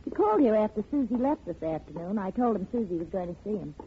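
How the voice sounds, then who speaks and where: A woman's voice: mid-range voice, expressive, fast, natural voice. An actress playing a slangy, streetwise young female roommate in a 1950 radio drama. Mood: breezy. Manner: slangy, joking, sassy. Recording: dull, noisy old radio recording.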